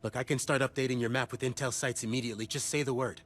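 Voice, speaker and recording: A man's voice: medium pitch, fairly even intonation, quick pace, a bit bright, natural voice. Guy acting as earnest cia contact in a game cutscene, mid voice, talks informally, music behind him. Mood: eager, determined. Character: grateful, earnest rescued male CIA intelligence contact.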